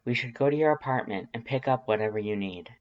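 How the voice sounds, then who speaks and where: A man's voice: mid-range voice, quick pace, narrow, tinny audio, close to an everyday voice. A man voicing a worried human who pleads firmly and urgently in a story reading. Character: worried, firm human pleading with a monster friend.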